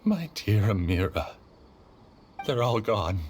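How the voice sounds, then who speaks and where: Game villain, a bitter, obsessive dreamer; low, swooping, deliberate and dramatic. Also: male voice, very animated, darkish tone, slight background noise. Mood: hopeless, bitter. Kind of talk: video game voice acting.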